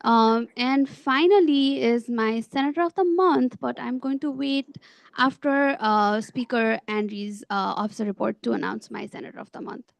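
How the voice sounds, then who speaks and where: A woman's voice: a little high, moderate pace, gravelly. A young adult woman speaking as a student government officer giving a report at a meeting. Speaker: student government vice president.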